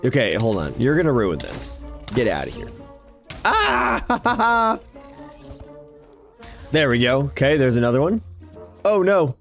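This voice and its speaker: A man's voice: medium pitch, huge pitch swings, slow pace, gravelly, long pauses, a bit bright, soft background music. A man giving casual, exclamation-filled gameplay commentary, often talking to himself as he plays. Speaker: gaming YouTuber hosting a Minecraft series.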